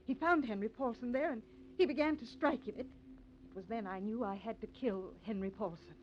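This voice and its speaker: A woman's voice: a little high, fairly quick, natural voice. A woman playing a deranged, murderous widow, eerily sweet and weary, in 1950s radio drama style. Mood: confessing. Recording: dull, noisy old 1950s radio recording.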